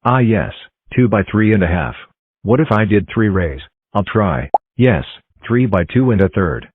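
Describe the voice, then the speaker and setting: Friendly little counting-block cartoon figures played by a man chirp through self-introductions in a low voice. The sound is thin and phone-like, dry and close. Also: lively intonation, measured pace, slightly rough, no echo. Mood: cheery. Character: cheerful talking number block characters. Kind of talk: fan-made cartoon voicing. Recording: tinny phone-like dry recording.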